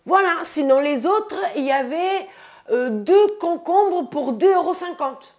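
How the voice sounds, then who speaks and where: A woman in her thirties with a stuffy nose from a cold, chatting casually to her viewers about her groceries in a bright room, recorded on a lapel mic. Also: extremely high pitch, animated, measured pace. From France. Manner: chatty, says "les amis" often, upbeat. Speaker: vlogger. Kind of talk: casual grocery haul vlog. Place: bright room indoors by a window.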